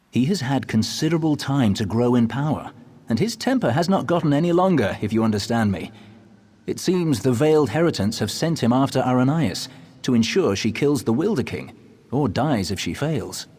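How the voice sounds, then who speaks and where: An actor playing a whimsical male storyteller in a video game, arch, amused and theatrical, with a husky voice. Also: medium pitch, big pitch swings, moderate pace, a little mellow. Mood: amused, wry. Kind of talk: video game character dialogue.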